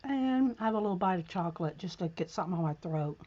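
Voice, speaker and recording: Lady chatting w her stream viewers, kinda hoarse, dull lo-fi audio. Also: lowish voice, lively intonation, fast, warm tone. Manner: chatty, talks straight to viewers. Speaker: livestream host. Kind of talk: casual livestream chat.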